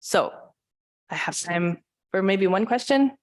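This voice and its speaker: A woman's voice: medium pitch, lively intonation, unhurried, no room sound, studio quality. A woman giving an earnest public talk on conservation at a church forum, with frequent um fillers. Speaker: conservation presenter. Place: church meeting room.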